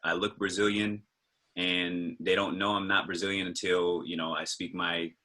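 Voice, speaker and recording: A man's voice: deep voice, flat delivery, normal speed, sharp tone. An American man, a capoeira teacher on a video-call panel, giving his personal opinion in a thoughtful, hesitant way. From United States. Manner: thoughtful, hesitant with fillers and restarts.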